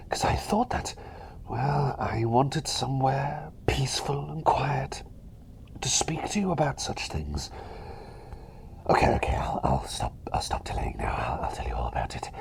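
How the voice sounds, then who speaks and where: A man playing a cheery, eccentric plague doctor in a whispered ASMR roleplay, polite, chatty and hesitant, bumbling along with excitable eagerness. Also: medium pitch, gravelly, a little hiss or hum. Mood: delighted, flustered.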